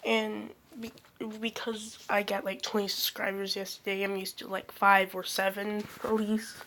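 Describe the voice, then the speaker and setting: A woman's voice: medium pitch, little pitch movement, moderate pace, a bit bright, a little hiss or hum. A young woman hosting her channel, rambling through a casual fan theory with lots of fillers and self-corrections while she is losing her voice from being sick. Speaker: channel host.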